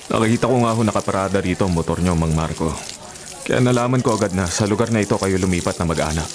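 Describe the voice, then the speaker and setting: A man's voice: low-pitched, quick pace, lightly acted. An actor playing an earnest, respectful young Filipino man in a radio drama, speaking politely and pleadingly. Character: earnest, respectful young man warning his girlfriend's father.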